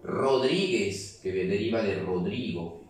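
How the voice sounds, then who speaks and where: A man's voice: fairly low pitch, a little slow, a little mellow. A man teaching a grammar class, explaining step by step and checking in with the students. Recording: very echoey room, mic far off.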